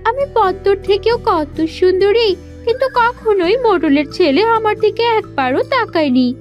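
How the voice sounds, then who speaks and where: A woman's voice: very high-pitched, normal speed, lo-fi sound, faint music behind. A woman playing a vain, envious younger village sister in a cartoon, whiny and boastful. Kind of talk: cartoon fairy-tale acting.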